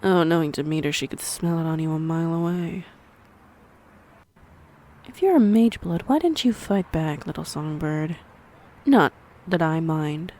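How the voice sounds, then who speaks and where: Woman acting snide, slow-talking predatory female vampire. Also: sits low, lively intonation, dark tone. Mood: intrigued, smug. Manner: mocking, teasing, theatrically menacing. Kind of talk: audio roleplay acting addressed to the listener.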